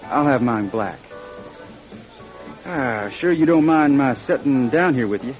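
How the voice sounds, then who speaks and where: A man's voice: mid-range voice, expressive, measured pace, darkish tone, lightly acted. An actor playing a young male van driver with a folksy, hesitant way of talking in a radio drama. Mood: friendly. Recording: dull radio recording, music behind.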